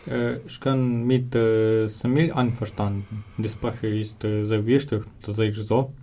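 Halting Ukrainian man with gravelly voice. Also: fairly low pitch, flat delivery, warm tone, slight background noise. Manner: hesitant, many filler sounds, halting. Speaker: interviewee, German learner. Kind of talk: interview answers in learner German.

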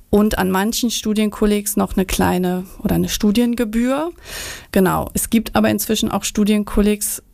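A woman working as an advisor for young migrants who want to study, giving clear, detailed answers in an interview. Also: medium pitch, darkish tone, no echo, clean studio sound.

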